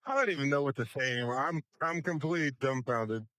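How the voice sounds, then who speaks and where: A man's voice: medium pitch, fast talker, sharp tone, no room sound. A man doing color commentary on a live card game match, playful, teasing and sarcastic.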